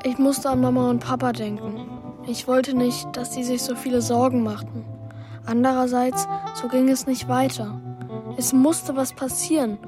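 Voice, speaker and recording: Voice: high-pitched, level tone, normal speed, over music, close to an everyday voice. A young performer playing an earnest, worried boy who narrates his own story in a German children's radio drama. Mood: brooding, determined. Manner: earnest, plain-spoken, childlike.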